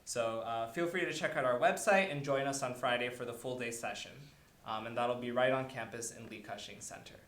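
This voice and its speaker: A man's voice: mid-range voice, a little fast, a bit bright. A young man welcomes the audience to an event in a lecture hall, his voice ringing around the room. He talks in a mid-range voice, filling gaps with ums and uhs as he runs through the schedule. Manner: filler-heavy, listing events. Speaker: student club organizer. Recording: roomy hall sound.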